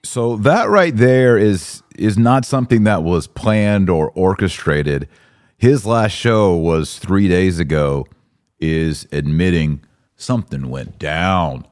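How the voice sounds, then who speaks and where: Low-pitched American man hosting a podcast. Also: animated, takes it slowly, darkish tone. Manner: informal, conversational and opinionated. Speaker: podcast host. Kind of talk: live news commentary to an audience.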